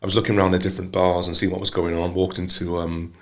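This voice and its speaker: An older man from Wales, a singer telling his story casually, relaxed with many pauses. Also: sits low. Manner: relaxed, rambling, many ums. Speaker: singer, guest.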